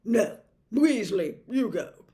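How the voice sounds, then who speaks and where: A woman voicing a pompous, impatient middle-aged male official who speaks loudly and loftily. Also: mid-range voice, expressive, a little slow, close to an everyday voice. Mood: haughty. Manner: loud, lofty, snappish.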